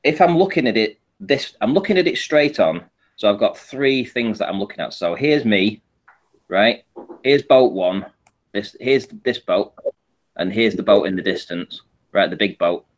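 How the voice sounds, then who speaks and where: Low-pitched man explaining step by step. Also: animated, normal speed. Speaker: panel guest presenting a photo analysis. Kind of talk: informal explanatory talk over shared images.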